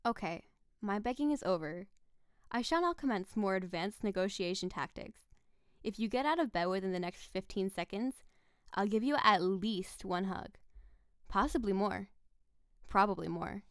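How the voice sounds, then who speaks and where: A woman's voice: mid-range voice, lively intonation, moderate pace, clear, resonant tone, no echo, studio quality, natural voice. A woman playing a playful, possessive snow-spirit wife in an audio roleplay, coaxing and doting in a theatrical way. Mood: coaxing, affectionate. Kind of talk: scripted audio roleplay addressed to the listener.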